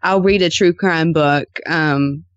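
A woman's voice: low-pitched, slow pace, no echo, lo-fi sound. Chatty woman podcaster, dry close sound. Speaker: podcast co-host appearing as guest. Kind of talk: casual interview chat.

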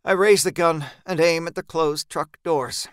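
A man narrating a first-person horror story in a tense, deliberate, dramatic manner. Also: on the high side, smooth, no echo, clean studio sound.

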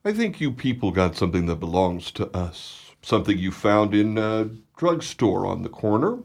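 Actor as a cool, menacing radio gangster boss. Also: male voice, lowish voice, sing-song, moderate pace, creaky, a little mellow, exaggerated voice. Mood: coolly menacing. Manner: insistent, needling questions. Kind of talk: old-time radio thriller acting.

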